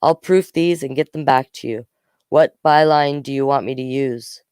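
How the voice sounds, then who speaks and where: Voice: medium pitch, normal speed, darkish tone, clear, resonant tone, no echo, studio quality, natural voice. A storyteller voicing an encouraging, businesslike teacher who advises a school newspaper. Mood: approving. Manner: approving, businesslike.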